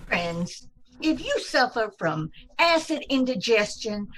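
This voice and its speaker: A woman announcer reading a scripted old-time radio advertisement with a husky voice. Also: fairly high pitch, fairly bright tone, poor audio. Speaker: commercial announcer. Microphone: video call.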